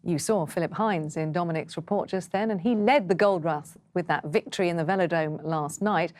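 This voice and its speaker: A woman's voice: on the low side, darkish tone, dry recording. Woman presenting the news. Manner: brisk conversational questioning. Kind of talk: studio news interview.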